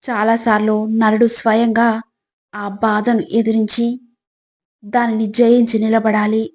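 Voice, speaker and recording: A woman reads scripted motivational reflections in a measured way, addressing the listener directly like a narrator of life lessons. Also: a little high, monotone, gravelly, a little mellow.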